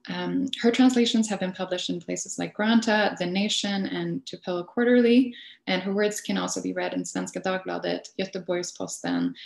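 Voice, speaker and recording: A woman's voice: on the low side, creaky, echoey room, low-quality recording. A woman chairing a video call panel, reading introductions from notes with frequent fillers. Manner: reads from notes, hesitant fillers. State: toothache.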